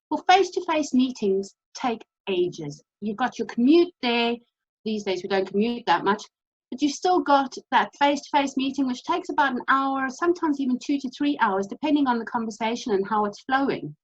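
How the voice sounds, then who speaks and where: A woman from South Africa with a South African accent, giving a brisk, practical presentation over a video call. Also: on the high side, animated, lo-fi sound. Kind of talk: presentation talk.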